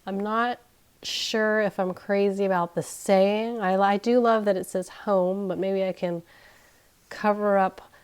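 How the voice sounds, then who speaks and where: Slow-talking woman with a nasal, crackly voice. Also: medium pitch, steady tone, clean tone. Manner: chatty, thinking aloud, self-deprecating. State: nasal and crackly from recovering illness. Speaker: unboxing vlogger. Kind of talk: casual unboxing chat. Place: indoors at home, carpeted floor. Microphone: camera pointed down at items, speaker off-screen.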